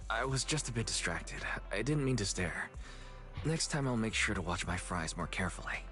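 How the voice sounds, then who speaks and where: Young man, calm thoughtful brother, lowish voice, video game dialogue, music behind. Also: moderate pace. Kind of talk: scripted English video game voice acting.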